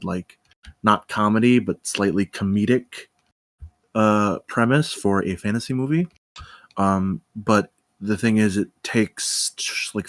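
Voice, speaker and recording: A man with a deep voice gives informal critique at a slow pace. His phrasing is measured and hesitant, as he works through his notes. The recording is dry. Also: no echo. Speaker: table reader giving script feedback. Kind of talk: casual spoken critique.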